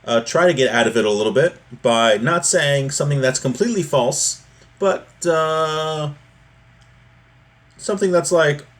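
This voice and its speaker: A man teaching an informal religious lesson, reading from a text and explaining it in a halting, repetitive, conversational way. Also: fairly high pitch, fairly bright tone.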